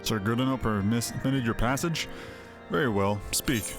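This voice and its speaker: A man's voice: mid-range voice, expressive, normal speed, close to an everyday voice. A man voicing a haughty, incredulous lord in a fantasy video game, with imperious, archaic speech. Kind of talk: video game character dialogue, read aloud. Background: music.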